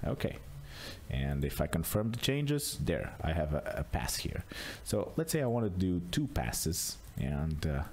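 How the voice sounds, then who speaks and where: A man narrating an unscripted screencast tutorial as a software demonstrator, explaining casually step by step. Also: deep voice, very animated, measured pace.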